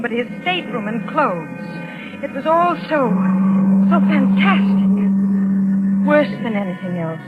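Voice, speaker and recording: An actress playing an anxious young woman passenger, confessing dramatically in 1940s radio style. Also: high voice. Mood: haunted, confessional. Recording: dull old radio recording, music behind.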